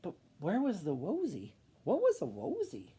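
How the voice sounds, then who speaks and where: Husky, creaky man reading a storybook. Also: high-pitched, wildly swooping pitch, moderate pace, mellow. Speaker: children's story reader. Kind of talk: expressive storybook read-aloud.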